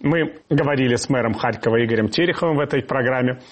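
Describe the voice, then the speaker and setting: Fast-talking husky male radio host. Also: medium pitch. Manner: fast, fluent and formal. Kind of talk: radio interview hosting. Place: television studio.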